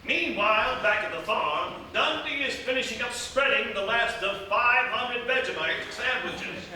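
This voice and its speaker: A dramatic radio serial narrator, played by a man, slow and deliberate with a high, very bright voice. He sounds distant, in a heavily echoing theatre. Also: expressive, soft background music, exaggerated voice. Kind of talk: scripted radio play narration. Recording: echoey live theatre from far off.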